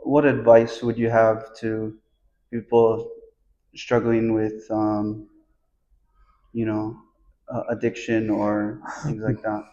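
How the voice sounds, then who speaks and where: A man's voice: on the low side, monotone, slow, pauses often, dark tone. A man working as an interviewer, asking plain questions, recorded with slightly muffled sound.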